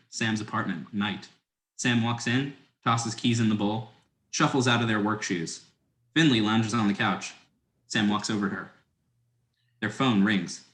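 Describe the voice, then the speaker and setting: An echoing, roomy recording carries a man's scripted narration. His voice is fairly low as he reads out stage directions. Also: fairly bright tone.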